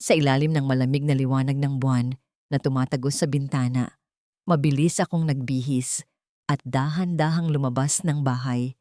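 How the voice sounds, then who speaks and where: A woman reading a scripted first-person story with deliberate, dramatic phrasing. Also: a bass voice, steady tone, warm tone, no room sound, studio quality. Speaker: audio story narrator.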